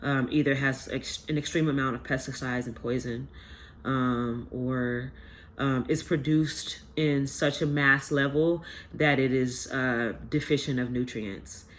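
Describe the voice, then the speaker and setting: A man's voice: medium pitch, fairly even intonation, measured pace, a bit bright. Stuffy-voiced man doing a loose, rambling tarot reading; a faint hiss sits under his voice. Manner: rambling, filler-heavy, earnest. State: stuffy from a sinus infection. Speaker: tarot reader. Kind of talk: casual collective tarot reading.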